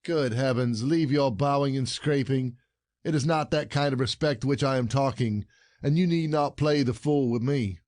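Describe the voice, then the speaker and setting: A man's voice: medium pitch, normal speed, a little mellow, no room sound, studio quality, natural voice. A man playing an indignant, proud, jealous husband in a classic comedy audio play, scolding in formal old-fashioned phrasing.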